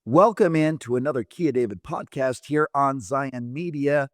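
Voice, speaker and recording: A man hosting a podcast interview, talking in a relaxed, rambling way with fillers while his voice is wearing out. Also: mid-range voice, normal speed, no room sound, studio quality. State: losing his voice. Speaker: podcast host. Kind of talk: conversational interview.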